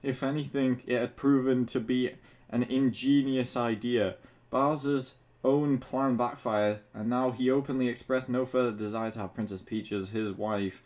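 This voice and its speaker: A man's voice: mid-range voice, a little mellow. A man reading fan fiction aloud for comedy, amused and crude, stumbling a little and dropping in asides. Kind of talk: comic read-aloud with asides.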